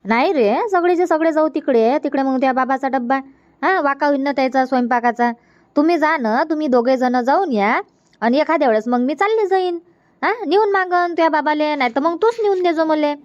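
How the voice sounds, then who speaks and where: A woman's voice: high-pitched, a little fast, no room sound, lo-fi sound, natural voice. A woman voicing a middle-aged mother in a comedy cartoon, giving firm instructions with a Varhadi Marathi accent. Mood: instructing. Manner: bossy, instructive, scolding gently.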